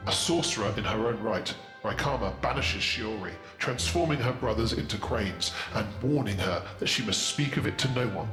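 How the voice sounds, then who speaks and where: A man voicing a grave, dramatic storyteller who announces a fantasy book blurb in a portentous, deliberate way. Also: on the low side, fairly bright tone, voice pushed down, lightly acted. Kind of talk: theatrical scripted blurb narration. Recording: long reverb, faint music behind.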